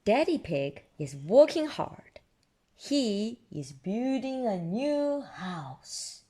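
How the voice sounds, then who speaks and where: A woman storyteller reading children's picture books aloud in an expressive, deliberate way, adding her own small asides. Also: medium pitch, husky. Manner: expressive, deliberate delivery for toddlers. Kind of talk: children's read-aloud narration with ad-libbed asides.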